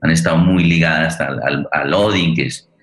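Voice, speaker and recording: Low-voiced man hosting, roomy echo. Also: fairly even intonation, a bit bright. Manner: deliberate, halting, searching for words. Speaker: forum host, interviewer. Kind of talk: interview moderation, long spoken questions. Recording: roomy, echoing sound.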